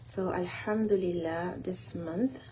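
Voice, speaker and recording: A woman talking casually and haltingly as she thinks aloud through her planning in an audio log. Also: lowish voice, steady tone, mellow, low-quality recording. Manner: unhurried, halting, thinking aloud while doing tasks. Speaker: host of a planning audio log for a women's group. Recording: noticeable background noise.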